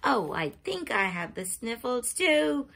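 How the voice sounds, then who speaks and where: A woman's voice: fairly high pitch, very animated, slow, creaky, fairly bright tone, pitched above the performer's own voice, full character voice. A woman voicing a polite little kitten girl in a storybook reading. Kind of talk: read-aloud storybook voice.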